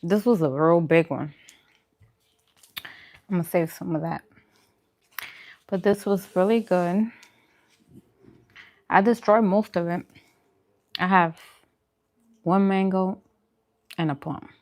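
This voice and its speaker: A woman chatting casually and colloquially with her viewers, as on a livestream during a relaxed meal. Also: on the low side, slow, pauses often. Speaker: vlogger hosting a mukbang livestream. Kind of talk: casual livestream chat with viewers while eating.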